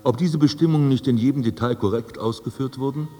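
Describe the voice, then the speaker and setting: A man's voice: mid-range voice, fast, mellow, natural voice. A man playing a haughty, self-assured dead aristocrat in a radio drama, speaking in formal, ornate and pompous phrases. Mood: pompous, wry. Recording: long hall reverb, music underneath.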